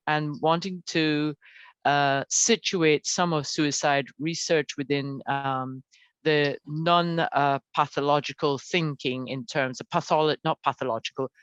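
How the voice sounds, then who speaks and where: A woman's voice: sits low, fairly bright tone, dry recording. A woman from Guyana, a senior lecturer, opening an academic webinar in a hesitant way, with many fillers and restarts. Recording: dull lo-fi call audio.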